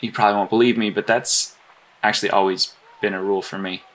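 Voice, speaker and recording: An actor playing an earnest, awkward, principled vigilante hero in an audio drama, hesitant and sincere. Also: male voice, on the low side, fairly even intonation, quick pace, a bit bright, lightly acted.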